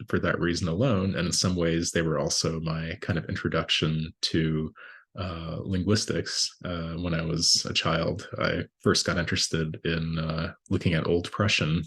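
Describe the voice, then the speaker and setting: A man's voice: sits low, fairly bright tone, husky. A middle-aged man, a native Latvian speaker, giving an academic talk as a linguist, hesitant and full of filler sounds and restarts. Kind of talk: academic presentation with slides.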